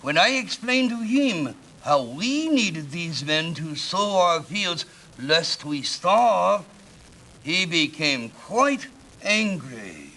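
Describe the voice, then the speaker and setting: An actor playing a worried, kindly Spanish mission padre in a video game, speaking in an earnest, solemn, theatrical way. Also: male voice, on the high side, very animated, slow, bright, forceful tone, full character voice, rough recording. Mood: grave. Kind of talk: dramatic cutscene acting and storytelling.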